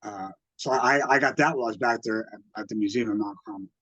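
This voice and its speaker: Halting older American man, dry dull recording. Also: lowish voice, bright, forceful tone, dry recording. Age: 60s. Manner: halting, hesitant, backtracks often. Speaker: radio collector and museum exhibitor, interviewee. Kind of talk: casual reminiscing interview.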